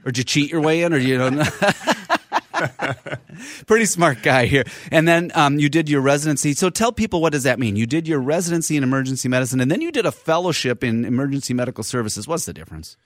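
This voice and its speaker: A man's voice: on the high side, animated, quick pace, no room sound. A man hosting a radio health talk show in a dry, close studio sound. He is a physician who chats easily, piles on fillers and restarts, and teases his guests in a friendly way. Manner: chatty, friendly teasing, many fillers. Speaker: radio show host, internal medicine physician.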